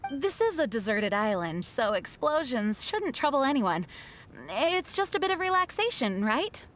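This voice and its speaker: A woman playing a responsible, courteous young knight leader in a video game, speaking in an earnest, measured, polite way. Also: sits high, big pitch swings, a bit bright. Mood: hesitant, indulgent. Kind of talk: animated game character acting.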